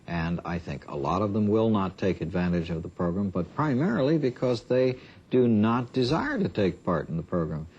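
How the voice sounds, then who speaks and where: An American man giving formal interview answers as a government official, speaking in a deliberate, halting way. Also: on the low side, very animated, darkish tone, slightly rough. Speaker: government program director being interviewed. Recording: dull 1970s archive interview audio.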